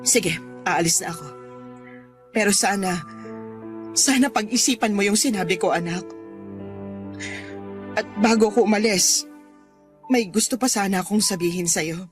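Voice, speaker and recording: A woman's voice: mid-range voice, measured pace, long pauses, faint music behind, lightly acted. A woman playing a worried middle-aged Filipino mother, earnest and pleading, in a radio drama. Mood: sad, resigned.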